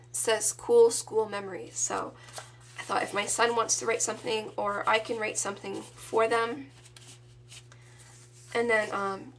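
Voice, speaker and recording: A woman in her thirties with a sore throat, casually showing off her handmade scrapbook album in a relaxed, chatty, enthusiastic way. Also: on the high side, vocal fry. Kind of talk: casual show-and-tell.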